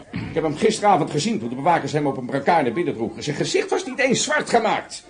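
In this radio play, a stern colonel who scolds a subordinate with contempt. His voice sits fairly high and rises and falls a lot as he dresses him down. Music sounds faintly behind him. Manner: scolding, reproachful. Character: stern, contemptuous male colonel, middle-aged. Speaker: senior camp officer. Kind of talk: radio drama acting.

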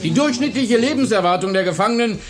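An actor playing an earnest, patient history teacher in a radio drama, explaining emphatically to a class. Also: male voice, high-pitched, lively intonation, quick pace. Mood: insistent. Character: male high school history teacher, earnest, patient, engaged. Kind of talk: German radio drama acting.

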